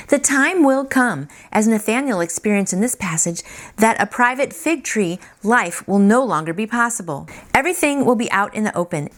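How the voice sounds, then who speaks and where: A woman hosting a daily devotional, reading aloud and adding casual, earnest, encouraging asides. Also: medium pitch. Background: music.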